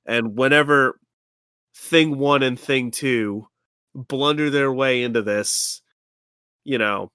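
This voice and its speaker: A woman's voice: a bass voice, slow pace, long pauses, fairly bright tone, smooth, dry recording, studio quality. A woman playing a tabletop game, chatting casually with a blunt, teasing manner.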